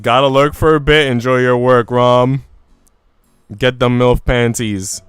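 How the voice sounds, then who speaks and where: A man's voice: medium pitch, fairly even intonation, a little slow, smooth, no echo, soft background music. A man live streaming a game, chatting casually in a slangy, profane, joking way. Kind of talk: casual chat while streaming a visual novel.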